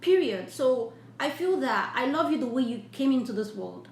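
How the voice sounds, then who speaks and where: Woman livestream host, effusive, slightly high voice, echoey room, faint hum. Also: animated, moderate pace, gravelly. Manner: warm, effusive, blesses viewers at length; earnest, repetitive preaching tone. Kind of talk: casual live-stream chat, reading viewer comments.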